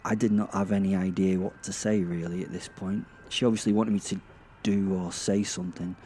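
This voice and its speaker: A sardonic, foul-mouthed werewolf, played by a man, tells his own story in a dark comic audio drama. His lowish voice rambles and halts, mocking himself with theatrical emphasis over a clearly noisy ambient backdrop. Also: flat delivery, brisk, natural voice. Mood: uneasy. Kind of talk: dramatic first-person horror-comedy narration. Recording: clear background ambient noise.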